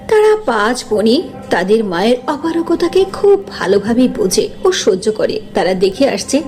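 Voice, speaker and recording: A woman's voice: on the high side, a little mellow. A woman narrator reading a scripted cartoon story.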